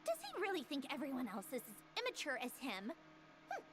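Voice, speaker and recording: A woman's voice: sits high, huge pitch swings, a little fast, noisy background. A woman voicing a haughty, cool young researcher in a video game, composed and disdainful. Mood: scornful.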